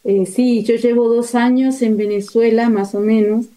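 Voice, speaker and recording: Hesitant woman with a very mellow, even voice. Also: on the high side, moderate pace. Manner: hesitant, searching for words. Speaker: missionary nun, interview guest. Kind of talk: spontaneous interview account.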